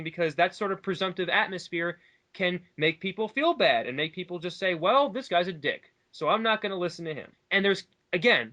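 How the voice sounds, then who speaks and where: A man's voice: high-pitched, pushed and bright. A man in a casual, opinionated discussion, talking at length in an emphatic, argumentative way. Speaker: online commentary co-host.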